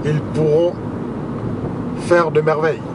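A man with a Congolese accent giving a political monologue in a halting, deliberate way, pausing between short phrases. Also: on the high side, little pitch movement. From Democratic Republic of the Congo. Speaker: political speaker. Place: inside a moving car on a motorway, while driving. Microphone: camera on passenger side. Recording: loud car and road noise.

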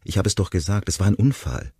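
Mann als junger Mann, zerrissen, leise bittend, heisere tiefe Stimme. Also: male voice, sits low, sing-song, fast talker, warm tone, breathy edge, no echo, clean studio sound, voice pushed up, heavily acted. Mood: pleading. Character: young man, torn and defensive.

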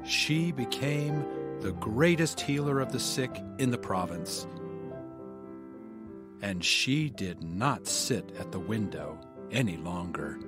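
A man narrating an audio drama in a measured, scripted storytelling manner. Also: lowish voice, expressive. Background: music.

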